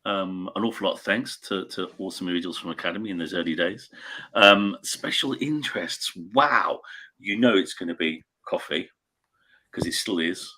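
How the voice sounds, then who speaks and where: A man's voice: low-pitched, moderate pace, bright, forceful tone, rough recording. A man in his late fifties chatting casually on a video call, rambling and going off on tangents. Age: 50s. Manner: rambling, self-interrupting. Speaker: co-host. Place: small home room or shed, indoors. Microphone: webcam on video call.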